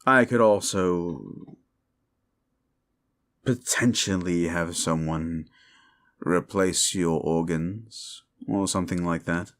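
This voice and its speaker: A man playing a cruel, sneering demon in an ASMR roleplay, sardonic and condescending, with a gravelly voice. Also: deep voice, slow pace, pauses often, fairly bright tone, heavily acted. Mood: smug, bargaining. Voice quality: gravelly, creaky. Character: cruel, sneering male demon bargaining for wishes. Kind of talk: scripted ASMR roleplay addressed to the listener.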